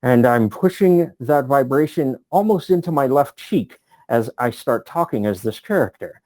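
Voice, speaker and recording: A man's voice: medium pitch, animated, moderate pace, a little mellow, no echo, voice pushed up, close to an everyday voice. A man voicing a weird fantasy game agent, speaking from one side of his mouth with a lisp. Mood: odd, sly. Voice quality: lisping, voice pushed into one cheek.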